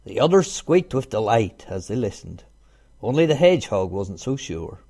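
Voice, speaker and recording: A man reading a children's storybook aloud in an expressive narrating style. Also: on the low side, moderate pace, slightly rough. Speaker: storybook reader.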